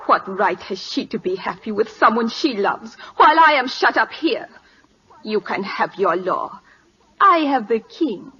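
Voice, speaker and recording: A woman's voice: a little high, expressive, unhurried, pushed and bright, slightly rough, full character voice. An actress playing a bitter, haughty young favorite of a king in a 1940s-style radio drama. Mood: bitter, defiant. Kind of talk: 1940s American radio acting. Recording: muffled old-time radio recording.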